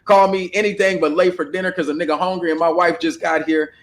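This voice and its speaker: A man's voice: high voice, little pitch movement, quick pace. A man hosting a casual livestream panel discussion, talking bluntly and with plenty of profanity over a desktop microphone. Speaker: panel host.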